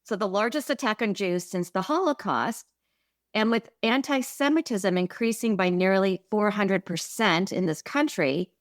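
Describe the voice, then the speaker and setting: A woman's voice: medium pitch, dry recording, studio quality. A woman from the American Midwest sharing personal stories in a reflective, earnest way on a podcast. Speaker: podcast co-host. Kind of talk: conversational podcast chat.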